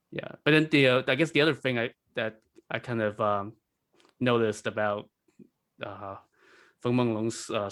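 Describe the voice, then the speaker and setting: A man from China speaking as a podcast panelist, in a halting, tentative way with many fillers. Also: mid-range voice, fairly bright tone. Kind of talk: casual panel discussion.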